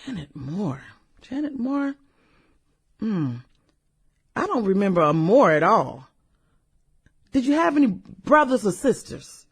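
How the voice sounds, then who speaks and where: A woman playing a brash, flirty, fun-loving businesswoman in a satirical radio drama, with sassy, slangy delivery and drawn-out interjections. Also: mid-range voice, big pitch swings, breathy edge, full character voice. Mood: curious, probing. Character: brash, flirty, fun-loving high-powered businesswoman in her late thirties.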